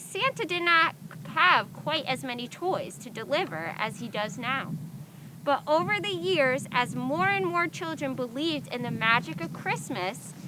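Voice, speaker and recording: A woman hosting a children's storytime, presenting and reading aloud expressively as she addresses young listeners directly. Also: high voice. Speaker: storytime host. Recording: background noise.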